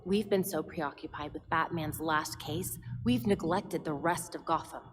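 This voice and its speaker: A woman's voice: low-pitched, a little slow, noisy background. A woman playing a determined, thoughtful young vigilante heroine in a video game, speaking earnestly and conversationally. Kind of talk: video game cutscene acting.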